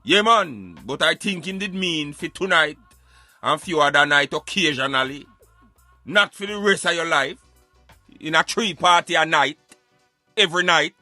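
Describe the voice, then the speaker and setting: A man's voice: fairly high pitch, expressive, moderate pace, sharp tone, no echo, faint music behind. A man with a Jamaican accent hosting a talk show, giving emphatic, preaching commentary in Patois. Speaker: radio show host.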